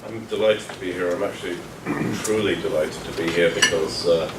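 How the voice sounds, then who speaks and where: A man's voice: deep voice, fairly even intonation, normal speed. A man with an English accent, from London, giving an informal public talk in a genial, joking, self-deprecating manner. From London, England. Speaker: guest speaker, orchestra executive. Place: meeting room during a breakfast gathering. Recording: roomy, distant mic, clattering dishes, noisy.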